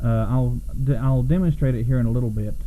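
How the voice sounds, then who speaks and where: American guy rambling through a product review at his desk, mid pitch, muffled camera audio. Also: quick pace, a little hiss or hum. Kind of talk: casual unscripted gadget overview. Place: small home room, at a desk.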